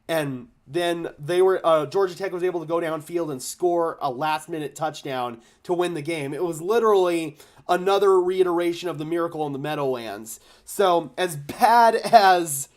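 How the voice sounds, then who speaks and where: A young man, slightly hoarse, hosting a live stream about his football team, chatting casually and thanking donors with bursts of excitement. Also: on the high side, a little slow. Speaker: fan channel host. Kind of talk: live stream chat reacting to donations.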